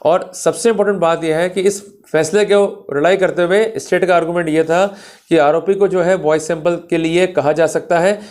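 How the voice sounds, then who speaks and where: Higher-pitched male law lecturer. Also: fairly even intonation, moderate pace, a little mellow. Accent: Indian. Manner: emphatic, didactic, mixes Hindi with English terms. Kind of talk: explanatory legal lecture.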